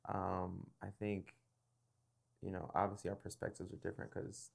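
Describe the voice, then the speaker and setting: A young man hosting a casual interview, relaxed and thoughtful, often affirming with quick "yeah"s and pausing with fillers. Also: low-pitched, gravelly. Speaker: podcast host.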